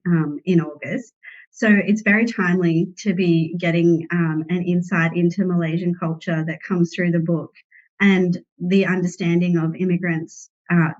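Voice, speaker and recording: Woman, interviewer, polite and measured, low voice, dull lo-fi sound. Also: darkish tone. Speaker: library interviewer. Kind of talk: interview questions. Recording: dull lo-fi recording.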